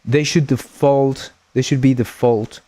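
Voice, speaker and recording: A man's voice: mid-range voice, steady tone, measured pace, mellow, slightly rough, no room sound. A man whose first language is Spanish, hosting a game development livestream in a rambling, jokey way and thanking viewers often. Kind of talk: casual livestream chat.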